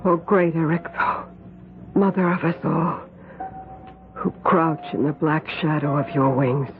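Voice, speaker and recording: A woman's voice: sits low, a little slow. An actress playing an anxious, earnest young woman devoted to a coven, in tense, dramatic radio drama style. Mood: reverent. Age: young adult. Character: anxious, intense young woman, a witch disciple. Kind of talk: 1970s radio drama acting and first-person narration. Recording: tinny old radio broadcast, music underneath.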